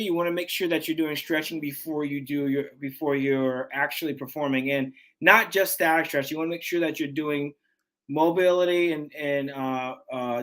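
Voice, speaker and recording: Lively man in his forties, fitness trainer. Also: fairly high pitch. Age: 40s. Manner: animated, restarts often, says man and dude. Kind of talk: casual interview chat.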